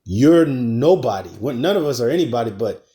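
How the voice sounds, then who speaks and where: A man of ordinary pitch delivers a religious lesson in a relaxed, street-slang style. He curses freely and pushes his voice up to stress his points. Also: a little fast. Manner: loose, slangy preaching with cursing. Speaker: teacher in a religious ministry. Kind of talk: casual scripture lesson.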